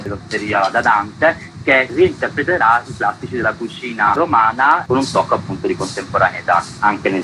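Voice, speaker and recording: A man's voice: on the low side, sharp tone, slight background noise, rough recording. A man giving an explanatory radio interview as a festival's co-artistic director.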